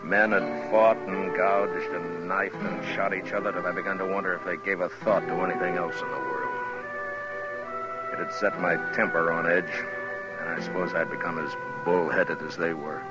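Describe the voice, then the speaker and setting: An actor playing a gruff, world-weary but fair frontier marshal who also narrates a 1950s radio western. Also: male voice, deep voice, fairly even intonation, normal speed, loud music behind the voice, close to an everyday voice. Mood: weary narration. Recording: muffled old radio recording.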